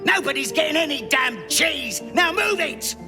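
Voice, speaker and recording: A man playing a short, irritable, bossy gang boss in a fantasy video game, loud and exasperated. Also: very high voice, expressive, moderate pace, music in the background. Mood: bossy, irritated. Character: blustering, irritable short male treasure-hunter gang boss.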